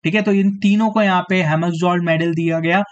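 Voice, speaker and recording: Hoarse high-voiced male teacher. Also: fairly even intonation, brisk, dull audio. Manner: repeats "theek hai" as a tag, addresses students directly. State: slightly hoarse, clearing throat. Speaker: exam coaching teacher. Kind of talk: live online quiz lesson.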